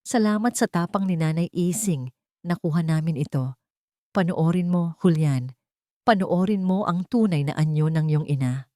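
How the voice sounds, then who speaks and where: A woman's voice: on the low side, normal speed, dry recording, clean studio sound, close to an everyday voice. Woman acting a firm, authoritative older aunt. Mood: firm, grave. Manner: firm, forceful. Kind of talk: Filipino audiobook melodrama.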